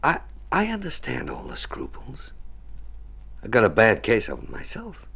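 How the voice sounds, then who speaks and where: A man's voice: medium pitch, wildly swooping pitch, moderate pace, vocal fry, a bit bright, husky, full character voice. An actor playing an earnest male doctor and family friend in a vintage radio drama, urging and familiar in manner. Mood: intense, pleading. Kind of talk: 1950s radio drama acting.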